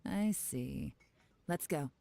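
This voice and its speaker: Mellow, composed, shrewd investigator. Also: female voice, low-pitched, expressive, extremely slow, creaky, heavily acted. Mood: polite, composed. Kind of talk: video game voice acting.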